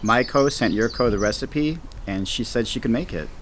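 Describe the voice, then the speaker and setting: A man's voice: medium pitch, moderate pace, noisy background, lightly acted. An actor playing an easygoing, teasing male space station commander with a casual, wry manner in an audio drama. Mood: playful, fond.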